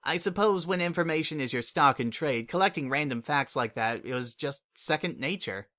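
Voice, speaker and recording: A man reading a fantasy story aloud in a relaxed, conversational first-person narration. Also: on the high side, normal speed. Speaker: story reader. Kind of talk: dramatic audiobook narration.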